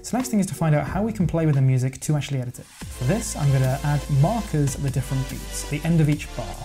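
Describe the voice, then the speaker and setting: A man narrating a software editing tutorial in a relaxed, chatty way, thinking aloud as he goes. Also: a little high, quick pace. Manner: casual, explanatory, thinks aloud with verbal sound effects. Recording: voice-over with music playing underneath.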